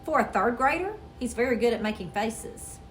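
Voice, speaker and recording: A woman reading a children's story aloud in an expressive, theatrical storytelling manner. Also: a little high, normal speed, a little hiss or hum. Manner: expressive, theatrical with character voices. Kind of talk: animated dramatic read-aloud.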